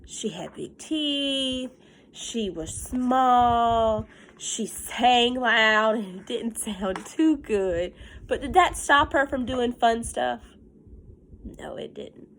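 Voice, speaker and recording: Woman, teacher, fairly high pitch, very slow delivery, picture-book read-aloud, emphatic phrasing, simple encouraging talk for small children. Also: expressive, fairly bright tone, slight background noise, low-quality recording. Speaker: teacher reading aloud to young pupils.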